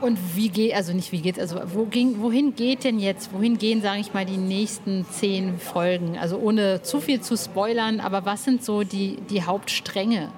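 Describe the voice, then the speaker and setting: A woman's voice: medium pitch, moderate pace, hardly any pauses, darkish tone. A woman hosting a podcast interview in a casual, colloquial way, chatting with the open enthusiasm of a fan.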